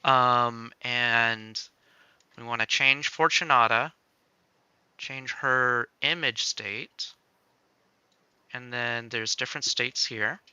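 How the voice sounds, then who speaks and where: A man giving a casual software demo as a game developer, explaining step by step and thinking aloud. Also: mid-range voice, fairly even intonation, slow, pauses often, clear, resonant tone, dry recording, lo-fi sound. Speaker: game developer demonstrating a tool.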